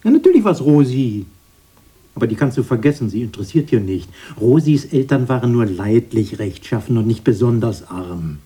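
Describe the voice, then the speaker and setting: An actor playing a prim, nostalgic elderly brother in a radio play, earnest and quick to correct. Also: male voice, mid-range voice, very animated, warm tone, slightly rough. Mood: piqued, correcting. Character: elderly brother, proper and nostalgic, easily piqued.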